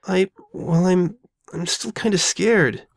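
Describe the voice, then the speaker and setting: A woman's voice: on the low side, vocal fry, no room sound, studio quality, heavily acted. A woman voicing a frightened, guilt-ridden young female pony who stammers and doubts herself in an audio drama. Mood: scared, weary. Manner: stammering, halting, anxious. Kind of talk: all-dialogue fan audio drama.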